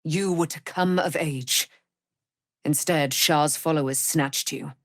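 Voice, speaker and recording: A slow, grandiose immortal warrior woman. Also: low voice, no echo, clean studio sound. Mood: solemn, grave. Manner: grandiose, archaic, solemn declamation. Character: proud, wrathful immortal warrior daughter of a moon goddess. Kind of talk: theatrical fantasy game voice acting.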